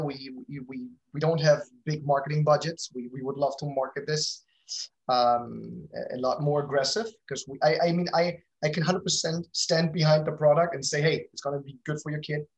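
Man giving halting interview answers. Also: a little high, steady tone, low-quality recording. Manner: halting, repeats words as he thinks. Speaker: interview guest, company founder. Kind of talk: casual interview talk.

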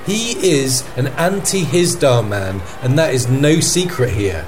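A man playing an earnest war correspondent, giving measured, emphatic reports in a fantasy radio news play. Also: medium pitch, lively intonation, over music, natural voice. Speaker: field correspondent.

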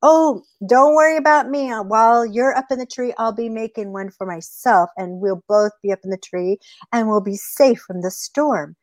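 A woman's voice: on the high side, moderate pace, no echo, natural voice. A woman voicing a sly male trickster rabbit in a folktale, coaxing and falsely innocent. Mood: reassuring. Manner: coaxing, wheedling reassurance. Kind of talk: oral folktale storytelling.

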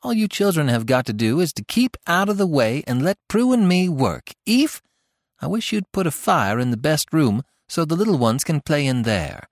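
A man's voice: fairly high pitch, very animated, moderate pace, no room sound, clean studio sound, pitched above the performer's own voice. A man voicing a bossy, spirited young farm girl who takes charge of the kitchen. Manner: commanding, spirited.

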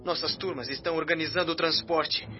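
Actor as a high-voiced male rescue messenger. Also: fairly even intonation, brisk, close to an everyday voice. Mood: urgent. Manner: declamatory, formal reporting. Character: urgent male rescue-team messenger calling in a report. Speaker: actor in a radio drama. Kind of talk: radio dispatch announcement, dramatized. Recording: dull lo-fi radio drama with music.

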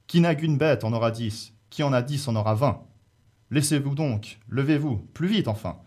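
A man voicing a young giant shepherd hero from a folk epic, bold and defiant, with booming, declamatory calls. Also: normal speed, a little mellow, close to an everyday voice. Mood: hearty calling.

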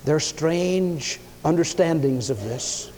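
Fairly high, mellow-voiced man preaching, emphatic, big hall echo. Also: takes it slowly, slight background noise. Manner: emphatic, swings pitch and loudness, acts out quoted speakers. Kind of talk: sermon. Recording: long hall reverb.

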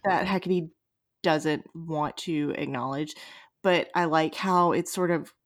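A woman's voice: sits low, slow. A woman hosting a casual fan podcast, blunt and teasing, acting out imagined lines as she chats. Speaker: podcast host. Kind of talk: casual, joking fan chat.

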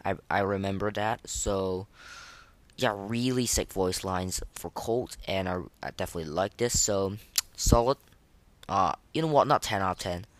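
A podcast host giving casual gaming commentary and ratings in a halting, repetitive way, full of slangy enthusiasm. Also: low voice, dry recording.

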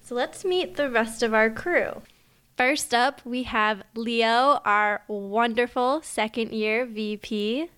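Young woman, podcast co-host, smooth clear voice, fairly high, friendly and chatty. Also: takes it slowly, fairly bright tone. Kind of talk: casual podcast chat.

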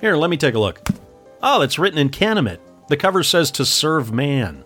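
Man playing a high-pitched, animated nerd. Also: moderate pace. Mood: exasperated. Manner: animated, explanatory. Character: chatty, eager male nerd explaining everything. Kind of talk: amateur comedic audio drama. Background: music.

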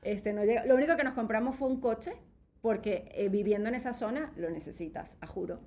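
A middle-aged woman with a Venezuelan accent and a fairly high voice. She speaks as a podcast guest, telling personal stories in a casual, emphatic way and often checking that she is understood. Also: a little fast, mellow. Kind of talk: casual interview, personal storytelling.

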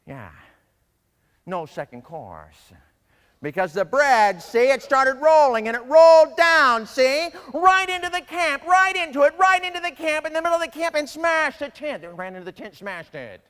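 Sharp, high-pitched nervous gangster-talking soldier. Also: male voice, big pitch swings, normal speed, long reverb tail, exaggerated voice. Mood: panicked. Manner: repetitive, agitated, tags phrases with "see". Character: nervous, whiny Midianite soldier, old-time gangster talk. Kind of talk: comedic Bible story sketch.

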